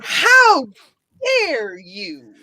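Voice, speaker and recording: A woman's voice: high-pitched, huge pitch swings, extremely slow, pushed and bright, exaggerated voice. A woman acting a proud, scornful young warrior in a comedic script read. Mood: outraged.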